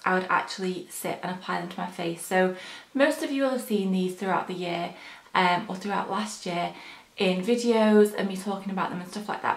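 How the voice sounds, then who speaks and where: A young woman chats casually while applying makeup, rambling and filling pauses with ums. Her voice is a little hoarse from the early morning. The sound is roomy and echoey, as in a bare bedroom. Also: mid-range voice. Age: young adult. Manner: chatty, rambling, frequent ums. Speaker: beauty vlogger.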